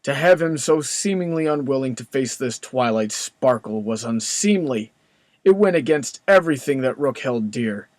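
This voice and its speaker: A man's voice: medium pitch, lively intonation, moderate pace, a little mellow, no echo, studio quality. A man reading scripted story narration as the narrator.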